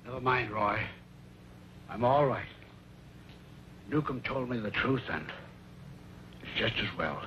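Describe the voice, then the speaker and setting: A man's voice: mid-range voice, expressive, takes it slowly, pauses often. A man acting as a proud, stubborn older rancher in an old Western drama, speaking earnestly and emphatically. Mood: resigned. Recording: dull, noisy old radio recording.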